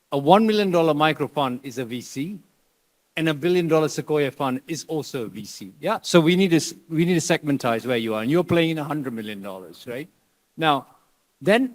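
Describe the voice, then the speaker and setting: A man who grew up in Malaysia, moderating a panel discussion with conversational, probing questions. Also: on the high side.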